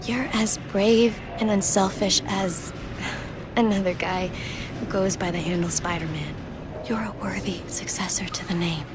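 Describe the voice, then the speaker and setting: A mid-pitched young female voice from an actress in a video game. She plays a sincere, grateful sweetheart, with music and vehicle rumble behind the dialogue. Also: fairly even intonation, measured pace, lightly acted. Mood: tender. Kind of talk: dramatic video game voice acting.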